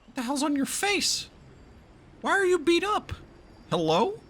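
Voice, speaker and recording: Guy streamer reacting to a game, bright punchy voice, pitch jumps around, blunt. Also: sing-song, a little slow. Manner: blunt, exclamatory, swearing. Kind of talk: casual live reaction commentary.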